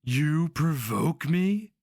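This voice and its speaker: A man's voice: on the high side, expressive, extremely slow, a little mellow, husky, no echo, clean studio sound, pitched above the performer's own voice, exaggerated voice. A man voicing a haughty, contemptuous monstrous sorcerer grand master in archaic, formal speech for a fantasy story. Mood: outraged sneer.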